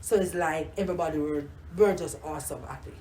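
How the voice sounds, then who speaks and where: A woman's voice: low voice, fast talker, roomy sound, a little hiss or hum, low-quality recording. An older grandmother from Jamaica with a Jamaican accent, reminiscing in a casual interview and often repeating herself. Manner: warm reminiscing, repeats words, many "you know"s. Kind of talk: casual oral history interview.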